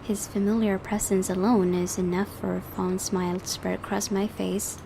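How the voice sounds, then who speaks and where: A woman's voice: mid-range voice, level tone, normal speed, vocal fry, dark tone, a little hiss or hum, poor audio. A woman hosting a gaming video, reading visual novel narration aloud and adding casual commentary.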